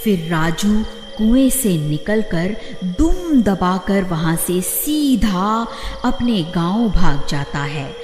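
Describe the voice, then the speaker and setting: A woman's voice: mid-range voice, sing-song, slow pace, few pauses, darkish tone. A woman reading a scripted children's story as its narrator. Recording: echoey reverb, faint music behind.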